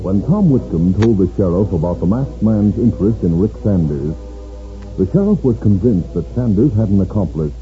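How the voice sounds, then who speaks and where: A man's voice: lowish voice, lively intonation, moderate pace. A man narrating a radio drama in a 1950s style. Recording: tinny old radio broadcast, music behind.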